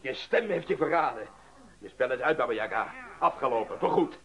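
An actor playing an excitable, resolute adventurer in a radio drama, emphatic and theatrical. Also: male voice, mid-range voice, fairly quick. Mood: stern, accusing. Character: excitable, resolute adventurer, companion of the witch-hunter. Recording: muffled radio play recording with background voices.

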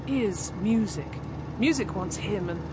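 Blunt bohemian Englishwoman over loud noise, acted. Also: medium pitch, expressive, unhurried, close to an everyday voice. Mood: dismissive. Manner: blunt, declarative. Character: Jo, blunt, idealistic young bohemian English woman sculptor. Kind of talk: radio drama dialogue.

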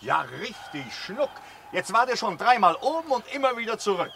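An actor playing a genial, teasing aging aristocratic uncle in a radio play, speaking with chuckling, old-fashioned courtesy. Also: male voice, very high-pitched, very animated, normal speed, pushed and bright, full character voice. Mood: playful, teasing. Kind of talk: German radio play acting. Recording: clear ambient noise behind voice.

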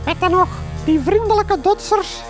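A woman's voice: extremely high pitch, normal speed, soft background music. A woman playing a nostalgic old village gossip in a comedy radio drama, wistful and chatty. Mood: astonished, nostalgic.

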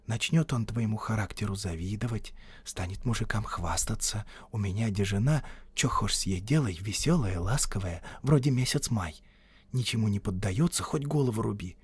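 A gentle village woman, as a male reader plays her, speaking low and softly in a dry, intimate storybook recording. She is weary and tender, counselling in folksy country speech. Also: breathy edge, no echo, exaggerated voice. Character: gentle, world-weary peasant woman giving soft, wise counsel.

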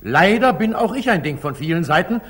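A husky-voiced, commanding older sultan in an old radio play. His stately verse swings widely from soft musing to ringing command, indulgent and authoritative at once. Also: high voice, expressive, a little fast, full character voice. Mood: gently chiding. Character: magnanimous older sultan, commanding yet indulgent, ruler of Jerusalem. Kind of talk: 1940s German radio drama acting, classical stage verse. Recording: slightly muffled 1940s radio studio recording.

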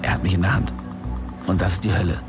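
A man's voice: low-pitched, steady tone, fast talker, over music, natural voice. An actor playing a frightened male policeman in a radio drama, talking through his trapped situation in an inner monologue. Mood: anxious. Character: cornered male policeman, tense and fearful.

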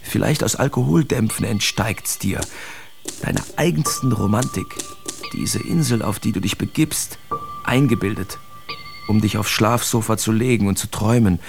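A man's voice: on the low side, normal speed, gravelly, over music. An actor playing a brash, cynical big-city painter declaiming his feverish letters in a dramatic radio reading. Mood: bitter, sardonic.

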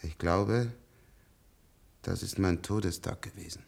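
An actor playing a weary, bitter old professor in a radio play, halting and emphatic as he speaks. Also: sits low, vocal fry, a little mellow, breathy edge, heavily acted. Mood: weary despair. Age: older. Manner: halting, repeating words, emphatic. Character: weary, bitter old professor, captive of medicine, longing for death. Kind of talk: German radio play acting.

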